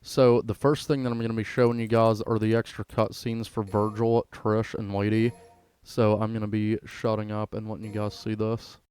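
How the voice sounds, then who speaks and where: A man's voice: fairly low pitch, little pitch movement, moderate pace, few pauses, darkish tone, clear, resonant tone. A man hosting a gaming video with casual, laid-back, informal commentary.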